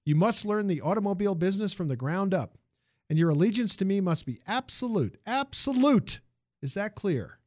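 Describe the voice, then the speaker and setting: A man's voice: high-pitched, expressive, normal speed, darkish tone, no room sound, studio quality, voice pushed up. A man voicing an imperious, demanding industrialist in a narrated story, with a commanding and emphatic manner. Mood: stern, demanding. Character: imperious male automobile tycoon, demanding and cold.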